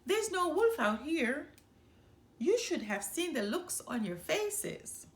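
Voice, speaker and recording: A woman's voice: high voice, big pitch swings, slow pace, echoey room, voice pushed up, heavily acted. A woman voicing a mischievous little farm boy in a storybook read-aloud, exclaiming with gleeful cheek. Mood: teasing, gleeful. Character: mischievous bored farm boy playing pranks, giggly.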